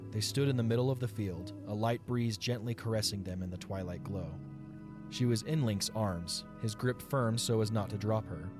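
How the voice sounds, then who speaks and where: A man narrates a scripted audio story in a fairly low voice. Music plays clearly behind his storytelling.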